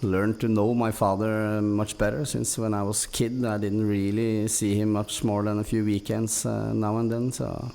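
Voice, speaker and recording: Gravelly Norwegian man, halting storyteller. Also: fairly low pitch, flat delivery, a little mellow. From Norway. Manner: halting, hesitant delivery with frequent long hesitations. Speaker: podcast guest. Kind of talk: casual personal storytelling.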